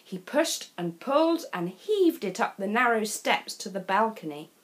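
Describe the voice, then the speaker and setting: A woman reading a children's storybook in an expressive, deliberate way with dramatic phrasing. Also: mid-range voice. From England.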